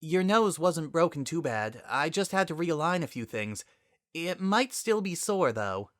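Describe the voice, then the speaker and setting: A man voicing a gentle, hesitant, caring young female pony in a storybook reading. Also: fairly high pitch, big pitch swings, measured pace, dry recording, studio quality, pitched above the performer's own voice. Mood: reassuring.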